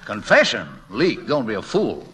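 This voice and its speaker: An actor playing an eccentric, flustered gentleman painter in a 1940s radio play, brusque and prone to stammering. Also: on the low side, wildly swooping pitch, moderate pace, crowd noise. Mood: blustering, dismissive. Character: eccentric, blustering gentleman painter, fond of his servant.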